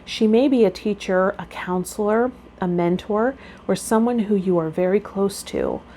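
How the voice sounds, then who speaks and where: A woman's voice: medium pitch, lively intonation, moderate pace, mellow, slight background noise. A woman speaking to viewers as a tarot vlogger and spiritual guide, reading aloud and chatting.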